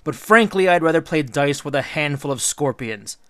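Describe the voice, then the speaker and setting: A man's voice: fairly high pitch, lively intonation, moderate pace, fairly bright tone, dry recording, studio quality, pitched above the performer's own voice. A man voicing a bold, scornful hero king in a storybook myth, taunting with sardonic questions.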